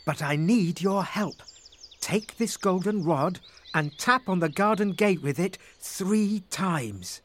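A man voicing a young prince enchanted into a golden crab, in a gentle, mysterious manner. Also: high voice, lively intonation, slow, slight background noise, voice pushed up.

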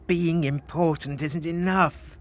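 A man voicing a vain, discontented husband who hungers for admiration, complaining and excitable, in a storybook tale. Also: a little high, takes it slowly, a little hiss or hum, voice pushed up. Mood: moaning.

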